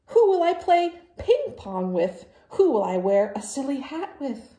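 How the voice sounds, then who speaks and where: A woman voicing an anxious, dramatic male elephant from a storybook, pleading loudly with theatrical, drawn-out words. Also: high voice, big pitch swings, roomy sound, voice pushed up. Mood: pleading, wailing.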